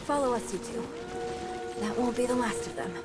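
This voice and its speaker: A woman's voice: a little high, normal speed, loud music behind the voice, lightly acted. Woman acting a terse, tough young survivor woman. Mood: urgent. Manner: terse, direct. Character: tough, guarded young female survivor, steady and protective. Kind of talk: video game cutscene acting.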